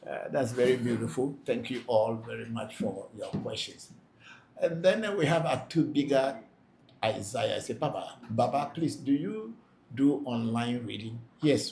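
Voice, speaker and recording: Man, spiritual leader hosting a live Q&A, gravelly mid-pitched voice, blunt and repetitive, echoey room. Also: normal speed, a little mellow. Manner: plain-spoken, repeats himself for emphasis. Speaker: Vodu priest hosting a live Q&A. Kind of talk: live Q&A, reading out and answering questions. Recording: echoey room, faint background noise.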